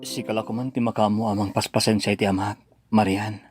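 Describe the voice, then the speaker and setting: An actor with a Filipino accent playing an earnest, devoted young husband in an Ilocano radio drama, with a pleading, melodramatic delivery. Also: male voice, on the low side, little pitch movement, fast talker, loud music behind the voice, lightly acted. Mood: tender, hurt.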